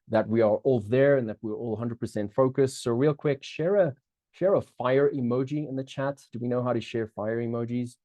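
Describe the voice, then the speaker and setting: A middle-aged man with a South African accent talks through a live online masterclass, upbeat and chatty, looping back on his phrases and turning to the audience often. His voice is warm and mellow, sitting at an average pitch. The sound is dry and close, with no room echo. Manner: upbeat, chatty, many restarts, keeps checking in with the audience. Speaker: business coach hosting a live webinar.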